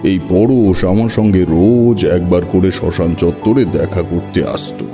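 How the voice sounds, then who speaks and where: A man narrating a scripted first-person horror story in a measured, literary storytelling manner. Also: deep voice. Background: music.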